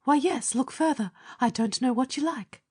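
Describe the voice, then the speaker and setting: Woman as well-to-do lady friend, obliging, high husky voice, dramatic story character. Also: moderate pace, darkish tone. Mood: generous, breezy. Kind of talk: dramatic audiobook character reading.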